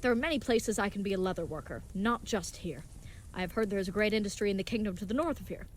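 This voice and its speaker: Audio drama hero played by a woman: young, naive, gallant leatherworker, quick formal delivery, faint noise behind. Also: mid-range voice, natural voice. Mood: earnest, chatty. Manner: formal, contraction-free, chivalrous, grandly earnest speech. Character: earnest, brave, naive young leatherworker playing knight, cheerfully oblivious. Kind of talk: scripted audio drama acting.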